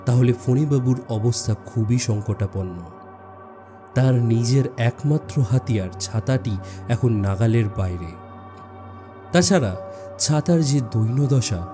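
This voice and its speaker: A man narrating a suspense story in a measured, dramatic way with deliberate pauses. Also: medium pitch. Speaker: audiobook narrator. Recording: music bed behind the voice. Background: background music.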